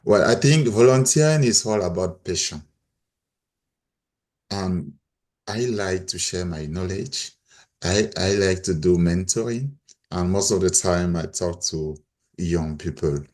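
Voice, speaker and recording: A man's voice: sits low, measured pace, darkish tone. A middle-aged man with a Cameroonian accent, sharing personal stories as a panel guest. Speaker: panel guest, volunteer mentor.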